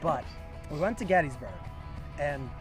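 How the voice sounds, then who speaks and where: Young male host, slightly high voice, casual joking banter, outdoor recording with music underneath. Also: animated, moderate pace. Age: young adult. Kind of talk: casual video introduction with banter. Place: outdoors at a historic site.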